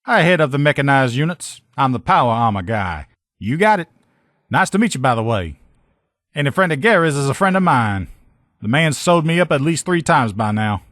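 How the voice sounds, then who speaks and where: A man's voice: mid-range voice, very animated, moderate pace, a bit bright, no room sound. A man voices a loyal, upbeat army lieutenant who fixes armor, written for a video game. He talks like a plain-spoken, folksy soldier, informal and sure of himself. The sound is dry, with no room around the voice. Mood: friendly, easygoing. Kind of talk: video game character dialogue.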